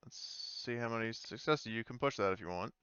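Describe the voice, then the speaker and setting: A man acting as game master, casually narrating a tabletop game and often pausing to think aloud. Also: mid-range voice, muffled recording, heavy background noise.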